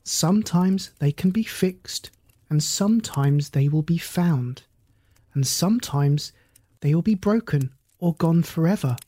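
Calm wise mama otter from a bedtime story, slow and soothing, kinda breathy, narrator doing her. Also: medium pitch, no room sound. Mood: gentle. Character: gentle, wise mother otter.

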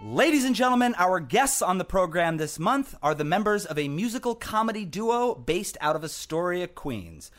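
Lively, announcer-style male host. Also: high-pitched, normal speed, few pauses, faint music behind. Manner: announcer-like, playful. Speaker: podcast host. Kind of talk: mock-formal hosting.

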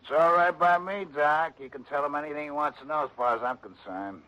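An actor playing a gruff, dismissive police sergeant in a 1960s radio drama. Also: male voice, fairly high pitch, fairly quick, pushed and bright, low-quality recording.

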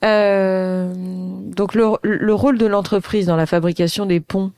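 A woman in her thirties leads a conversational interview, thinking aloud as she goes. Her voice is smooth and resonant. The sound is dry and close, with no room echo. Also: mid-range voice, steady tone, a little slow, a little mellow. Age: 30s. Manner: thoughtful, searching for words, talkative.

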